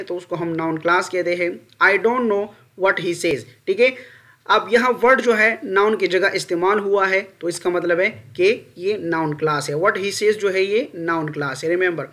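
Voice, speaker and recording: A man's voice: high-pitched, fairly bright tone. A man with a Pakistani accent teaching a lesson, explaining step by step, repeating points and adding check questions. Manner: didactic, repetitive, checking with tag questions. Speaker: English grammar teacher. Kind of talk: bilingual lesson, explaining rules with examples.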